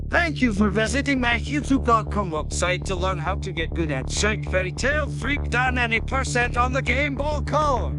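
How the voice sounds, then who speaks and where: High-pitched man narrating a jokey game tutorial. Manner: exaggerated playful internet-slang delivery. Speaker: gaming tutorial host. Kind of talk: jokey slang-filled scripted video game tutorial narration.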